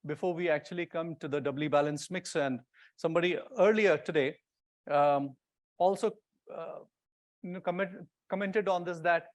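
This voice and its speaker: A man giving a technical conference presentation to an audience, explaining things informally and pointing things out as he goes. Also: sits high.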